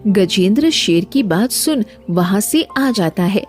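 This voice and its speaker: A woman's voice: mid-range voice, lively intonation, unhurried. A woman reading scripted narration for a cartoon moral story. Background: background music.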